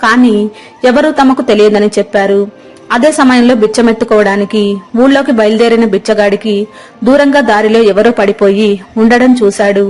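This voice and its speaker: A woman's voice: a little high, brisk, darkish tone. A woman storyteller giving scripted narration of a tale. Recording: music under the voice. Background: background music.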